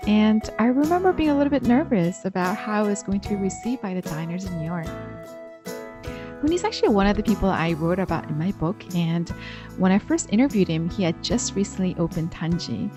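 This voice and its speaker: Podcast host lady, middle pitch, a bit of soft music in the back. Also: expressive, a little fast. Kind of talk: scripted podcast intro, then interviewing.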